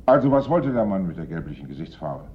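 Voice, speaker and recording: An actor playing a brusque, sceptical police inspector who questions curtly in a German radio play. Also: male voice, deep voice, very animated, quick pace, highs cut off. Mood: probing. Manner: curt, probing, accusatory.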